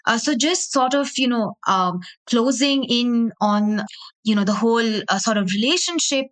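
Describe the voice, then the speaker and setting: A woman's voice: on the high side, normal speed. A woman hosting a conversational interview, agreeing and summing up as she leads into questions. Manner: agreeable, summarizing before asking. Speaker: podcast host.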